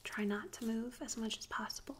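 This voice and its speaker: Soft breathy asmr lady whispering slowly right up close, kinda high voice, bit of hiss in the recording. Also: fairly even intonation. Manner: slow, gentle, unhurried instructions. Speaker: ASMR performer playing a tattoo artist. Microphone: close binaural stereo microphone. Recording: close binaural recording with audible noise.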